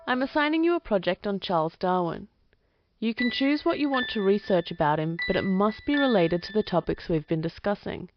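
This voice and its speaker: A woman playing a jaded schoolteacher giving orders to her class, in a flat, bossy tone. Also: medium pitch, brisk, natural voice. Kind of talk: amateur audio drama acting. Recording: muffled lo-fi recording with clicking noise.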